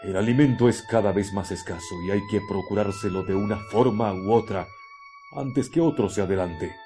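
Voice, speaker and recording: A man's voice: on the low side, normal speed, close to an everyday voice. A man playing a bitter, ruthless Martian in a radio drama, speaking in a theatrical, brooding monologue. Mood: scornful, cold. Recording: loud music under the voice.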